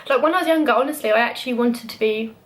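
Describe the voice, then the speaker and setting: A young woman with a British accent chats to her camera in a relaxed, open way, dropping "like" and "um" all through. Her voice sits a touch higher than most women's. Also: very fast. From Britain. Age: young adult. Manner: chatty, lots of "like" fillers. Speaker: vlogger. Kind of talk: casual chat.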